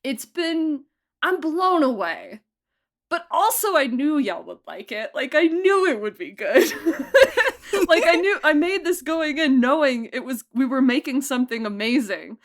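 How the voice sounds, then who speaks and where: A woman with a fairly high voice talks casually as an interviewed producer. She is effusive and emphatic, leaning into jokey asides as she goes. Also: sing-song, unhurried. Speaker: audio drama producer and director being interviewed. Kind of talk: casual behind-the-scenes interview.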